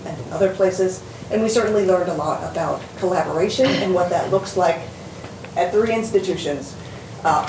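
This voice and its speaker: Woman panel speaker, low voice, earnest with fillers; distant roomy recording. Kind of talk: conference talk. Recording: echoey hall from far off, noisy.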